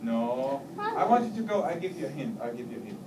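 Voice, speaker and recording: A man's voice: medium pitch, a little fast. A man, an airline pilot giving a playful talk to children and quizzing them. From Austria. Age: 40s. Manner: lively, teasing, quizzes the audience. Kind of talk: playful talk to a young audience. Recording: roomy, distant mic, loud crowd and noise.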